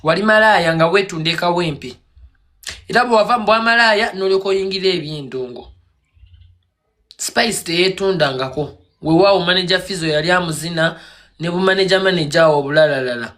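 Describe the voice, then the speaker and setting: A man on a live stream rants in a scolding, mocking tone, firing one accusation after another. His voice sits high for a man. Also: a little fast, a bit bright. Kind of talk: heated rant on a live stream.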